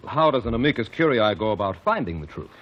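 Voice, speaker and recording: A man announcing a 1940s radio drama in a portentous, deliberate style. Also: medium pitch, big pitch swings. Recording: dull old radio broadcast with organ.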